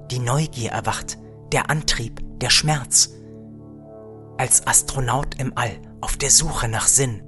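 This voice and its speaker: Dreamy astronaut co-pilot, over music. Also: male voice, on the low side. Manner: wistful, eager. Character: dreamy, awestruck astronaut co-pilot under a stern commander. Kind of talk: radio play acting with poetic monologue.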